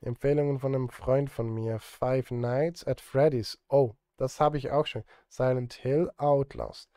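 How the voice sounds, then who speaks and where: A man's voice: medium pitch, fairly even intonation, a little slow, dark tone, clean tone, no room sound, clean studio sound. A man in his thirties chatting casually on a live stream while he works, rambling and muttering to himself in a self-deprecating way. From Switzerland. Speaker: live streamer and film composer. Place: indoor desk at a streaming setup.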